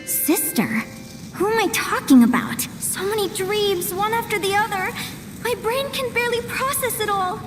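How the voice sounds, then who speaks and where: Very high-pitched, wistful young game heroine. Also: female voice, expressive, normal speed, over music. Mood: wistful, weary. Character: sweet, wistful young woman troubled by dreams. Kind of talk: video game story voice acting.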